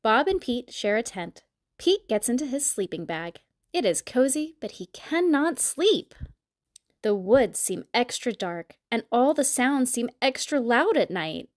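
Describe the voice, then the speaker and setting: A woman reading a storybook aloud to young children in an expressive, deliberate storytelling manner. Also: a little high, no room sound, studio quality. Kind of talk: scripted read-aloud narration for young children.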